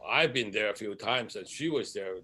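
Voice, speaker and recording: A man's voice: fairly low pitch, expressive, normal speed, sharp tone, slightly rough. Man hosting a casual interview. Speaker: interview host.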